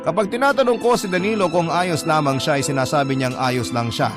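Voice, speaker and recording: A man's voice: a little high, brisk. A man with a Filipino accent narrating a first-person story from a letter in a dramatic style. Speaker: narrator reading a letter aloud. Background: music.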